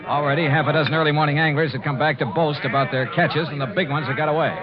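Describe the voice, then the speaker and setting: An actor as hardboiled American radio detective, muffled recording. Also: male voice, fairly high pitch, steady tone, quick pace, music in the background, close to an everyday voice. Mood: hardboiled narration. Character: hardboiled freelance insurance investigator, groggy but decisive. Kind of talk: 1950s American radio detective acting and narration.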